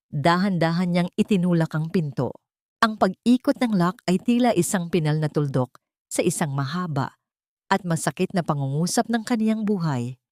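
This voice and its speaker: A woman with a Filipino accent reading a scripted dramatic story in a measured, solemn, sentimental way. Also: fairly low pitch, darkish tone, dry recording, studio quality. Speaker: audio story narrator.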